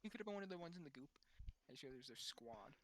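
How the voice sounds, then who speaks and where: A man playing in a tabletop roleplaying game, chatting casually and haltingly as he talks things through. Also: on the high side, lively intonation, a little hiss or hum.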